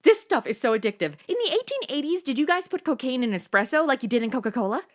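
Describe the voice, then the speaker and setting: Ditzy young American female, high voice, extremely animated, slangy, brash, excitable, audio comedy. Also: fast talker. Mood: wired, excitable. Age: young adult. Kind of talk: comedy audio drama acting.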